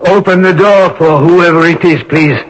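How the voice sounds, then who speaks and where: An actor playing a kindly, courageous older French priest in a radio drama. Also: male voice, a little high, fairly even intonation, normal speed, background noise, lightly acted. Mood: firm, defiant. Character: kindly, courageous older French monsignor, warm but defiant. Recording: dull old radio recording with loud music.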